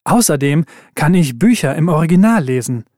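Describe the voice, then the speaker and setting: A man reading scripted exam texts aloud in an even, careful way. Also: a little high, moderate pace, no echo, studio quality. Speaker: exam recording reader.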